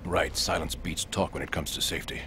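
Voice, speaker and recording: An actor playing a male covert agent in a video game, explaining secrets in an assured, measured manner. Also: deep voice, fairly even intonation, creaky, background noise. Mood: hushed, wary. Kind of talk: video game cutscene acting, expository.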